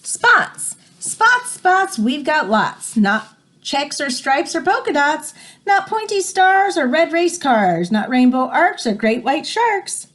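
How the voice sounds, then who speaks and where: A woman voicing small, gentle spotted baby fawns in sing-song rhyming storybook verse. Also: high voice, sing-song, slow pace, voice pushed up, full character voice. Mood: playful.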